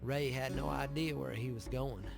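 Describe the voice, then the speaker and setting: A man playing a weary preacher who narrates a Western video game, grave and measured. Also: mid-range voice, steady tone, creaky, loud music behind the voice, natural voice. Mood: regretful. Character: weary, sorrowful preacher brother of outlaws. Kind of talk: dramatic scripted game narration.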